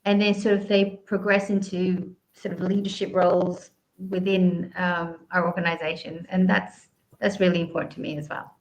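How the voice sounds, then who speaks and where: A woman's voice: fairly low pitch, flat delivery, moderate pace, poor audio. A woman from Southeast Asia, a guest answering interview questions in an earnest way, explaining at length with frequent ums.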